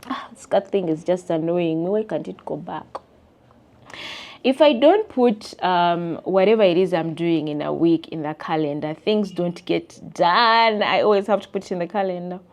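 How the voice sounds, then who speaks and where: Young Ugandan woman vlogger, slightly low voice, animated and ranting, does squeaky impressions. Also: normal speed. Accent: Ugandan English. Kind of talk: casual chat rant to camera.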